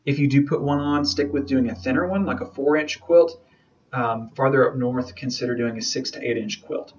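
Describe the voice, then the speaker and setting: A man's voice: medium pitch, normal speed. Casual man teaching an informal lesson. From southern United States. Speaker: beekeeping instructor. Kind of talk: informal lecture over slides.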